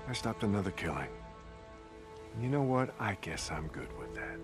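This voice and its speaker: An actor playing a grim, world-weary, resolute American camp leader in a video game, with music behind him. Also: male voice, fairly low pitch, sing-song, normal speed. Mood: resigned, firm. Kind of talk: naturalistic video game acting. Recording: clear music and rain behind.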